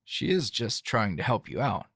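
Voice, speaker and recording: A man playing a calm, dry, teasing hero and coworker in an intimate ASMR roleplay, talking in a low-key, halting, conversational way. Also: breathy edge, dry recording, exaggerated voice. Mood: awkward, casual.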